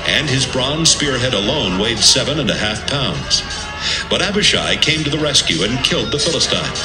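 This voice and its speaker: A man narrating an audio Bible from a script in a measured, serious manner. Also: on the low side. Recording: roomy sound with music underneath.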